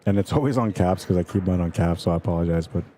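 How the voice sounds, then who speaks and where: A man commentating a pool tournament live stream in a calm, easygoing way, chatting and reading viewer comments aloud. His voice is soothing and relaxing. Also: low-pitched, fast talker, slight background noise. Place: pool tournament venue, indoors.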